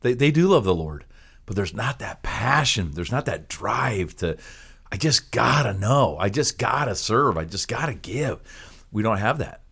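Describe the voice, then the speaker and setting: A man's voice: lowish voice, big pitch swings, measured pace, creaky, fairly bright tone, husky. An American man hosting a casual ministry talk show, enthusiastic and jokey, often repeating his phrases. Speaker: podcast host.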